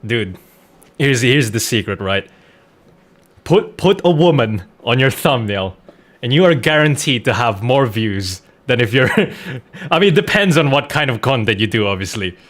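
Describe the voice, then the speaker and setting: A man with a mid-pitched voice talks off the cuff on a gaming livestream. His intonation is very animated and his phrasing loose and informal. Music plays behind him. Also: measured pace. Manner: informal, swearing, repeats himself for emphasis. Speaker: streamer, voice actor. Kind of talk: casual livestream chat while gaming.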